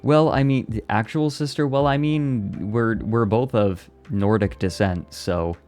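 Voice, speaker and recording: Man, young adult, low voice, casual live-stream chat, answers chat, laughs a lot. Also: unhurried, a little mellow, faint music behind. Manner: chatty, reads and answers chat, laughs often. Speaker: VTuber streamer.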